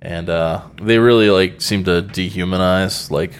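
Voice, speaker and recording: A man's voice: low-pitched, unhurried, clear, resonant tone. A man co-hosting a podcast in casual, rambling chat, full of fillers and casual swearing. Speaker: podcast co-host.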